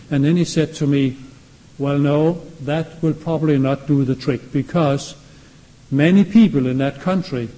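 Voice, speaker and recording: A man's voice: a little high, dark tone, low-quality recording. A man from Denmark, a native Danish speaker with a Danish accent, giving a classroom lecture and posing rhetorical questions to his listeners.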